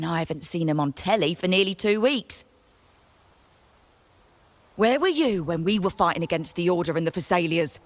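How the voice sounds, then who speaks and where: A woman's voice: fairly low pitch, no echo. A woman playing a curt, aggrieved, no-nonsense trader in a video game. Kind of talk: scripted video game voice acting.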